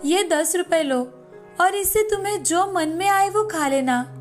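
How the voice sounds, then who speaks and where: A woman's voice: very high voice, unhurried, faint music behind, pitched above the performer's own voice. A woman voicing a poor, loving, sorrowful mother in a children's cartoon story, tender and lamenting.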